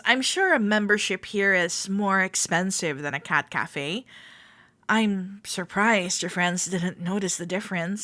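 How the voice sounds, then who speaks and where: A woman playing a friendly, playful club member in a roleplay audio, gently reassuring a shy newcomer as she speaks directly to the listener. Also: medium pitch, no echo. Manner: gentle, reassuring, playful, hesitant soft-spoken chattiness.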